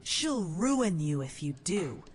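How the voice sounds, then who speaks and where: Lilting, chiding kitchen maid, unhurried actress. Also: female voice, fairly low pitch, big pitch swings. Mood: knowing warning. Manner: chiding, knowing. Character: scoffing, teasing female kitchen servant.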